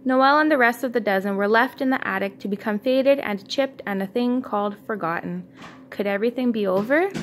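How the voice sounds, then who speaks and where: A woman reading a children's story aloud as a parent would to a child, in a homemade recording. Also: a little high. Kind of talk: read-aloud children's storytelling with casual asides. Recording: clear background noise, homemade recording.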